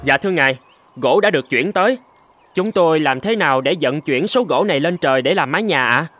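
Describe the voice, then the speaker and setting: A humble, higher-voiced royal messenger. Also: male voice, expressive, a little fast, a bit bright, a little hiss or hum. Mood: respectful. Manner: humble, formal. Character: deferential male royal messenger in a folk tale. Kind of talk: Vietnamese cartoon dubbing.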